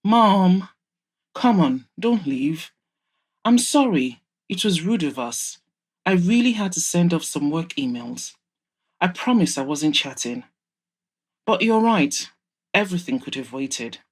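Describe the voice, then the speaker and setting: A woman's voice: on the low side, sing-song, takes it slowly, pauses often, breathy edge, heavily acted. Audio drama: thirty-something playboy son, read by a woman, placating, apologetic, pleading tone.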